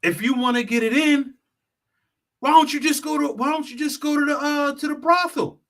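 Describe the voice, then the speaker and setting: A man's voice: very high-pitched, moderate pace, a bit bright. A man who works as a travel consultant, giving a blunt, profane, lecturing monologue straight to the viewer. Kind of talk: heated straight-talk monologue.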